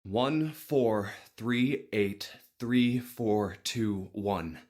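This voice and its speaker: A man's voice: on the low side, very slow, a little mellow. An actor playing a bewildered young everyman with a hesitant, muttering delivery in a visual novel game. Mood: slow reciting.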